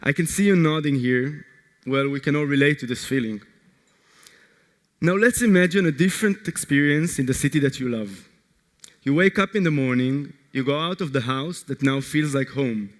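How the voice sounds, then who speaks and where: A man from Israel, a startup co-founder, giving an earnest, energetic conference pitch that puts direct questions to the audience. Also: normal speed. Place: large conference hall. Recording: long hall reverb.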